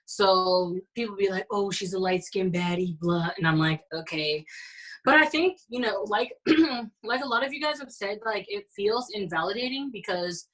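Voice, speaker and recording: A woman's voice: mid-range voice, normal speed, a bit bright, poor audio. A woman from the United States on a podcast panel, talking in an easygoing, thoughtful way. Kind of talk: casual panel chat.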